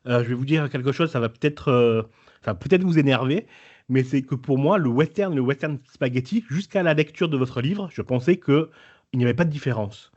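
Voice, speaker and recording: A man's voice: medium pitch, fairly quick, darkish tone, lo-fi sound. A man hosting an interview, asking questions in a conversational, hesitant way. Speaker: show host. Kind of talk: casual interview talk.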